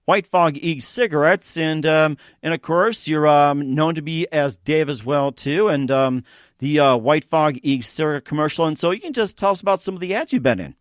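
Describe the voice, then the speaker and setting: A male talk show host leads an interview in a rambling, halting way. His voice sits a little high for a man, and the sound is dry and close. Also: no echo. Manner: rambling, filler-heavy. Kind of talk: radio interview.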